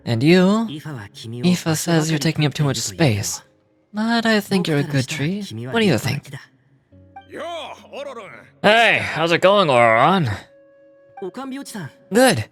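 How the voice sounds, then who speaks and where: An actor playing an easygoing, earnest young farmer in a video game, talking in a casual, conversational way. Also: male voice, fairly high pitch, very animated, slow pace, pauses often, fairly bright tone, faint music behind, exaggerated voice. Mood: gentle, friendly.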